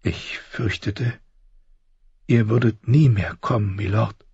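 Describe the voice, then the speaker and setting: A man voicing an anxious, loving noble lady with a soft, earnest manner in an audiobook. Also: on the low side, a little slow, husky, voice pushed up, heavily acted. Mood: relieved, tender. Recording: dull, roomy audiobook recording.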